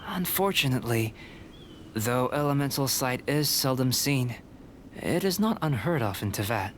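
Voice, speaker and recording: A man voicing a calm, courteous alchemist researcher in a video game, speaking in a measured, formal, articulate way. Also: medium pitch, a little hiss or hum, lightly acted. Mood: intrigued, measured.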